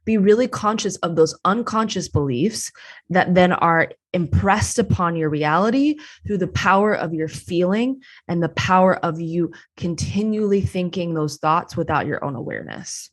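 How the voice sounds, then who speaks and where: Earnest woman, lowish voice. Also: animated, normal speed. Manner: earnest, affirming, repeats "yes" and "exactly". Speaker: interview guest. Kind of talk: casual interview chat.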